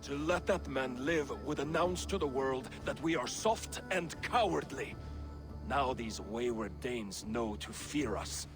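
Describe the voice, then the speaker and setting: An actor playing a belligerent, resentful Viking warrior in a game, blunt, scornful and goading. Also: male voice, mid-range voice, a little slow, over music, lightly acted. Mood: smug. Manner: confrontational, scornful. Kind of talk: cinematic game dialogue.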